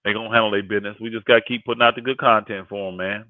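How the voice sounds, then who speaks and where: A man's voice: fairly low pitch, steady tone, rapid-fire, no room sound. A man of fifty in casual, emphatic sports talk on a livestream, often repeating phrases to drive a point home. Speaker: guest co-host and sports commentator on a live panel. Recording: thin phone-like audio.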